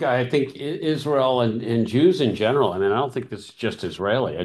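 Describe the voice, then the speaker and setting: A man's voice: mid-range voice, a little mellow. A middle-aged man, a regular panelist in a political chat, talking bluntly and emphatically over a video call.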